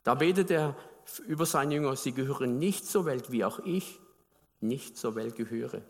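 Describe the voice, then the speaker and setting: Preacher, male, from Baden, mid pitch, chatty sermon delivery, long reverb of a church hall. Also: normal speed. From Baden, Germany. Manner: conversational, asks the congregation questions.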